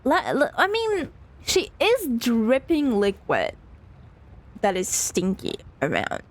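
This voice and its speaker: Female game streamer, higher register, swooping intonation, giggly asides between read lines. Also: wildly swooping pitch, takes it slowly. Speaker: streamer reading a visual novel demo. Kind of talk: playthrough reading with amused asides.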